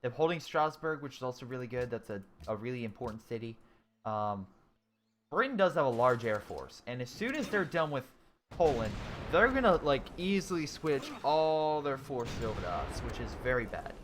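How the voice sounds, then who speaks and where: A man's voice: medium pitch, big pitch swings, normal speed, a little hiss or hum. Young man musing over a strategy game. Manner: thinking aloud, frequent ums and self-corrections. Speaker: gaming YouTuber. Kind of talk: casual let's-play commentary, reading chat.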